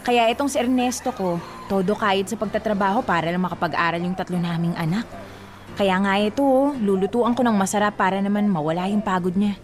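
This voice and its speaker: A woman's voice: medium pitch, fairly quick, natural voice. Radio drama: actress as a prying neighbor woman, chatty and blunt. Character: nosy, talkative married neighbor woman.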